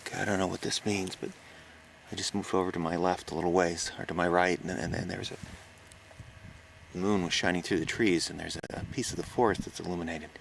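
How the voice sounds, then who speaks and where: A man plays a scared reporter whispering out his findings on a night stakeout in an audio horror story. His voice is deep and gravelly, breaking into halting stammers. Faint outdoor noise sits on the recording. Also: fairly even intonation. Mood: shaken, uneasy. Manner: halting, stammering, gasping exclamations. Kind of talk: found-recording horror audio drama monologue. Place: outdoors on a farm. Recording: noisy outdoor location recording.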